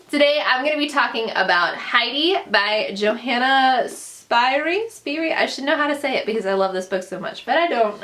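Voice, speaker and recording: A woman's voice: mid-range voice, fairly bright tone. Earnest young woman vlogger, Dutch speaker. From grew up in the Netherlands, then the United States. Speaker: booktuber, vlog host. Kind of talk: casual personal storytelling to camera.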